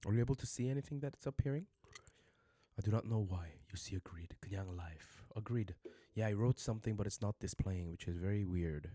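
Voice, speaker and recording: A man's voice: lowish voice, fast, warm tone, dry recording, studio quality. A man teaching a casual livestream lesson, chatting with viewers and repeating phrases for learners. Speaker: Korean language teacher.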